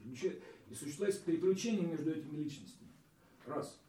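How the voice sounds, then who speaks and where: A man's voice: a little high, expressive, quick pace, dark tone, recorded from a distance, a little hiss or hum. A man in a group discussion, insistently arguing his point. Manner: argumentative, insistent. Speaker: emigrant discussion guest. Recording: roomy sound from off mic.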